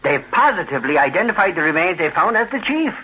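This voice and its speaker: Aiutante eccitabile, voce acuta e roca. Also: male voice, high-pitched, very animated, fast, husky, heavily acted. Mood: excitable, earnest. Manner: eager, exclamatory, colloquial. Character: excitable, folksy male investigator's sidekick. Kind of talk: old-time radio crime drama acting. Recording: muffled vintage radio recording.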